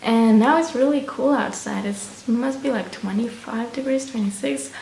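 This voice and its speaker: A woman's voice: on the high side, moderate pace, vocal fry, a little mellow. A young woman with a Romanian accent, chatting casually to camera in a rambling, hesitant way as a vlogger. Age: young adult. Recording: roomy echo, distant mic, faint noise.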